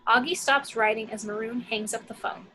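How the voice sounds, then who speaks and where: Bright, high-voiced woman narrating a script. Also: fairly even intonation, moderate pace, a little hiss or hum, low-quality recording. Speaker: action-description reader.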